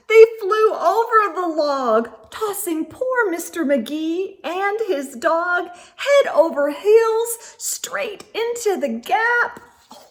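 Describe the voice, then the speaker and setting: A woman with a high, very expressive voice reads a children's story aloud. Her pitch swings widely and she takes her time, drawing out the suspense in a theatrical storytime manner. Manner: theatrical, drawn-out suspense with gasps and asides. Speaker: storytime host reading picture books aloud.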